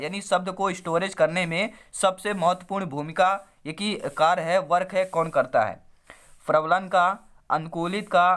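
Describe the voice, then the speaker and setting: A male coaching teacher with a high voice, hoarse from a cold. He reads out exam questions and their options, then repeats each answer, addressing his students familiarly. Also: fairly bright tone. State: sore, hoarse throat from a cold. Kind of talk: quiz-style online lesson, reading questions and options, then explaining answers.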